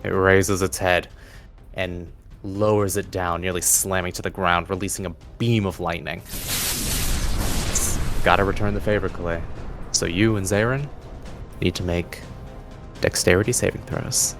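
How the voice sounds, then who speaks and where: A man's voice: deep voice, fairly even intonation, a little slow, long pauses, music in the background. A man narrating a tabletop fantasy game as game master, with dramatic, descriptive storytelling.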